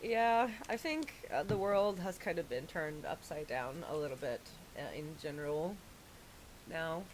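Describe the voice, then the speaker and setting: Low-voiced woman streaming. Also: expressive, slight background noise. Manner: rambling, pauses with fillers and restarts. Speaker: livestreamer. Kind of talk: casual livestream chat while doing makeup.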